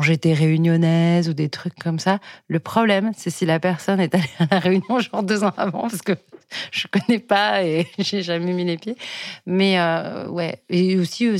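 A woman's voice: on the low side, darkish tone, no echo, studio quality. A young woman, telling personal stories in a casual, reflective, informal way. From Paris suburbs, France. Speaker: podcast participant telling personal story.

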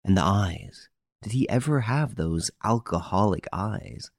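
A solemn detective read by a male narrator testifies in a courtroom story. He speaks deliberately and gravely in a low voice, with a dry recording. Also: lively intonation, no room sound. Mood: deliberate, certain.

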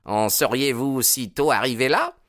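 A man's voice: mid-range voice, very animated, a little slow, fairly bright tone, dry recording, studio quality, pitched above the performer's own voice. A man voicing an arrogant, jealous young rival in an audiobook, brusque, sneering and hot-tempered. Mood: furious, sneering.

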